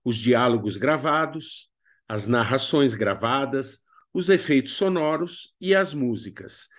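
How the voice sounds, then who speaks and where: A man's voice: mid-range voice, animated, a little slow. A man with a Brazilian accent presenting an instructional lesson as a workshop teacher, didactic and emphatic with informal asides. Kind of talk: instructional video lesson narration.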